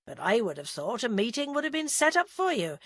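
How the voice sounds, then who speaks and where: A woman voicing a calm, gently teasing male police detective with a soft Gloucestershire accent. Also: on the high side, a little fast, no room sound, studio quality, voice pushed up.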